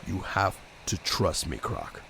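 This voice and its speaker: Man acting stern detective hero, commanding, deep, creaky gravel, grim audio drama delivery, noticeable noise. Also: big pitch swings, takes it slowly, pushed and bright, exaggerated voice. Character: brooding, stern masked vigilante detective hero. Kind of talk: audio drama narration and dialogue.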